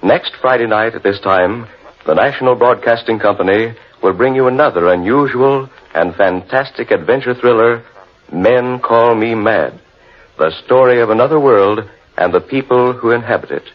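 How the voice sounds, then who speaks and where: Male radio announcer, 1940s style, formal, measured, medium pitch, dull old broadcast sound.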